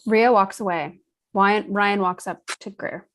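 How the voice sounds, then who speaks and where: A woman's voice: medium pitch, steady tone, measured pace, a bit bright. Woman reading screenplay stage directions. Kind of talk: scripted narration of screenplay directions.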